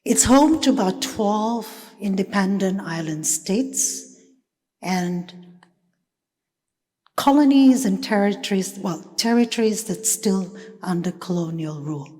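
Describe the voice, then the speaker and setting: A woman from Fiji giving a thoughtful conference talk, with many pauses and fillers. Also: mid-range voice, animated, a little mellow. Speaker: panel speaker. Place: conference hall. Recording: reverberant conference hall.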